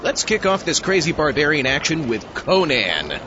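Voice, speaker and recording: A reluctant comic sidekick, played by a man, questions things in a hesitant, exasperated way. His pitch leaps around a middle register, and the dull recording has noise behind it. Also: wildly swooping pitch, moderate pace. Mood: agreeable. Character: reluctant, questioning male sidekick and podcast host. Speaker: host. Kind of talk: scripted comic audio skit.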